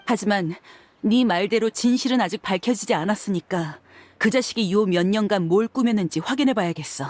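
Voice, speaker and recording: A woman's voice: mid-range voice, brisk, darkish tone, natural voice. A woman voicing a tough young mercenary in a video game, blunt and firm, proud with a bitter edge. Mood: bitter, angry. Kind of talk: dubbed video game acting.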